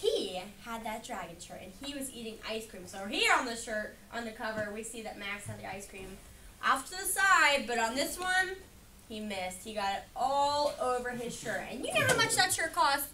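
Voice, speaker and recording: A woman's voice: on the high side, sing-song, normal speed. A woman reading a picture book aloud interactively to children, asking questions and affirming their answers as she goes. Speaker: read-aloud educator. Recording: distant mic in room with background noise.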